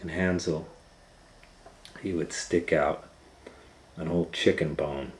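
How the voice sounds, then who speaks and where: Man, storytime reader, very low voice, slow delivery, expressive, echoey room. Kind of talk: children's storybook read-aloud. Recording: roomy, echoing indoor sound.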